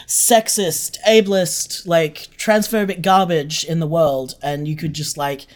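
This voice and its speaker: A woman's voice: deep voice, fairly even intonation, slow pace. A woman talking casually as an interview guest, candid and emphatic in making points. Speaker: interview guest, audio drama creator.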